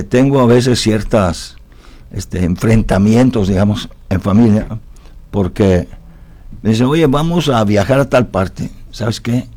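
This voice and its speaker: A man's voice: on the low side, warm tone. A man from Italy talking reflectively as an interview guest, philosophical and often tagging his points with questions seeking agreement.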